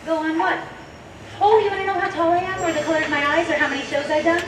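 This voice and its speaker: A woman acting a brash, quick-talking young dancer on a stage, with sharp sassy retorts. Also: extremely high pitch, steady tone. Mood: defiant. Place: theater stage. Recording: echoey stage from far off, noisy.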